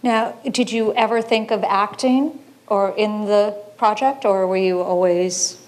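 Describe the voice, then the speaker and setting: A woman's voice: mid-range voice, fairly even intonation, a little slow, darkish tone. Woman asking short interview questions. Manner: brief prompting questions. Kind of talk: live on-stage interview.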